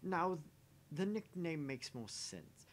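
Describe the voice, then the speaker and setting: A man's voice: big pitch swings, slow pace. A man voicing a smug, jaded husband in a visual novel, mocking and condescending as he teases. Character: smug, sneering, jaded married man teasing his dinner date. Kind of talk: voice-acting visual novel dialogue. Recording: faint background rumble and noise.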